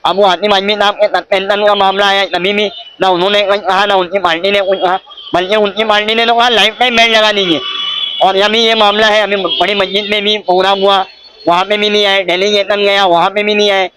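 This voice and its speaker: A man's voice: sits high, flat delivery, brisk, bright, forceful tone, clear, resonant tone. A man with a Mumbai accent giving political interview answers as an advocate and local party office-bearer. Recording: faint background noise on location.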